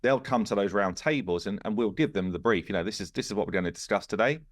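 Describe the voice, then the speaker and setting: Male podcast host, low voice, dry close sound, informal explainer who slips into imagined quotes. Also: expressive, quick pace, no room sound. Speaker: game studio founder and podcast host. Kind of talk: casual podcast discussion.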